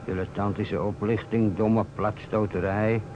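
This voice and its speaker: Muffled noisy recording, actor as male police inspector, lowish voice, wry, wordy, world-weary. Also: flat delivery, measured pace, lightly acted. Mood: wry lament. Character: world-weary, talkative police inspector bemoaning dull times. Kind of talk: radio drama dialogue.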